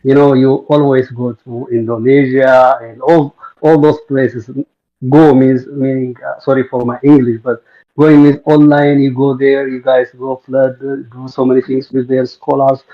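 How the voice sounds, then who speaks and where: A man's voice: medium pitch, flat delivery, normal speed. A man from Ethiopia whose first language is Amharic, calling in to a live online show and talking hesitantly, with many fillers and restarts. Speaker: caller. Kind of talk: casual live call-in chat. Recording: dull lo-fi online call.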